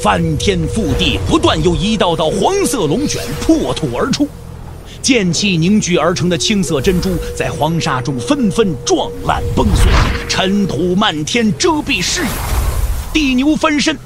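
A man narrating a martial-arts audio drama in a dramatic, emphatic storytelling style. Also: high-pitched.

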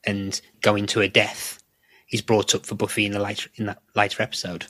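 Bright forceful guy podcast guest, pretty flat delivery, thinking his points through. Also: lowish voice, a little fast. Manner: thoughtful, circling explanations. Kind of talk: casual analytical discussion.

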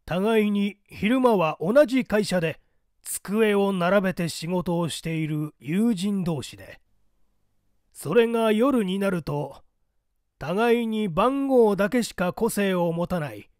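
Man playing a high-voiced, musing secret society member. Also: a little mellow, no echo, clean studio sound. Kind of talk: audiobook character acting.

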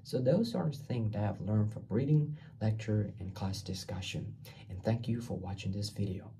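A young man from Taiwan, a student recording a reading response for class, talking haltingly and repeating words while he searches for them, on a webcam in a small bare room. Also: fairly low pitch, gravelly, dark tone, slight background noise. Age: young adult. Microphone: laptop webcam mic, framed from below.

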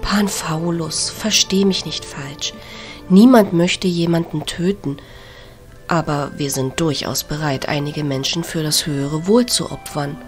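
A sweetly manipulative goddess. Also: female voice, low-pitched, fairly even intonation, a little slow, soft background music. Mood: persuasive.

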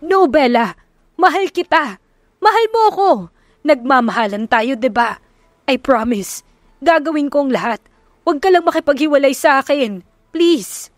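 A woman's voice: sits high, expressive, normal speed, long pauses, dry recording, clean studio sound, voice pushed up. A woman voicing a desperate, possessive jilted husband who pleads insistently in an audiobook romance drama. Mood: frantic begging.

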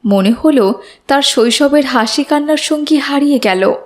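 A woman's voice: high-pitched, normal speed. A woman narrating a moral cartoon story in a scripted storytelling style.

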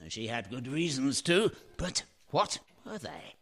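A man acting an excitable, bewildered painter in a radio play. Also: mid-range voice, slow pace, exaggerated voice. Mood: bewildered, anguished. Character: excitable bewildered painter, doting father, dawning memory.

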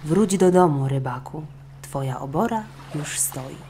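A woman voicing a small magical golden fish queen in a storybook, soft, courteous and calm. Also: sits low, a little slow, slight background noise. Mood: gracious.

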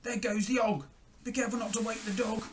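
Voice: mid-range voice, fairly even intonation, fast, slight background noise, pitched above the performer's own voice, lightly acted. A storyteller voicing a cunning, bungling thief from a children's storybook, conspiratorial and emphatic. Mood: excited, scheming. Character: cunning, bungling storybook thieves creeping at night.

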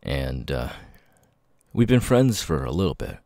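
A man playing a nervous, grateful young outcast with vocal tics in an ASMR roleplay, speaking haltingly and repeating words. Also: sing-song, vocal fry, a little mellow, no room sound, studio quality, exaggerated voice. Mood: hesitant, weary. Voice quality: very deep voice with stuttering, sudden high-pitched tic outbursts.

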